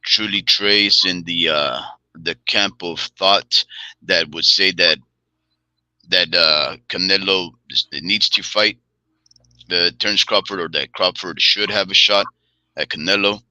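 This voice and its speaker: Man, co-host, very low voice, hesitant formal delivery, dry close sound. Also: steady tone, measured pace, sharp tone, no room sound, poor audio. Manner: hesitant, lots of uh fillers, formal wording. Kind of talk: measured casual boxing discussion.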